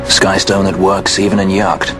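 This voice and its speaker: A man plays a suave, self-assured sky pirate. His lowish voice is dry and knowing. A film score runs underneath. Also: flat delivery, moderate pace, music in the background, lightly acted. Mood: wry. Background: orchestral score.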